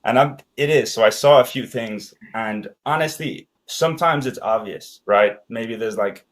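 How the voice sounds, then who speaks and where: A man's voice: mid-range voice, normal speed. A man whose first language is Danish, a guest talking casually and rambling with fillers like "right?". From Ireland and Denmark. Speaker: podcast guest, sales expert. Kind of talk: casual conversation.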